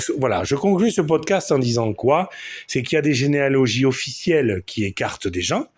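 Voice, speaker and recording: A man's voice: on the low side, a little mellow, breathy edge. A man in his forties with a Southern French accent, delivering a crude, boastful podcast monologue full of swearing. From southern France. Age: 40s. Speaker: podcast host.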